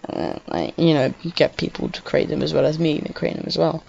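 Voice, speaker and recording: A woman giving casual, rambling gameplay commentary on a home computer mic, excitable and prone to swearing. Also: sits low, brisk, creaky. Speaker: gaming video creator. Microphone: home computer microphone. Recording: slightly dull lo-fi mic.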